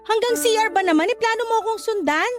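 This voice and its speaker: A woman playing a sharp-tongued, irritated young mother in a Tagalog radio drama, snappy, sarcastic and dismissive. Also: very high-pitched, quick pace, loud music behind the voice.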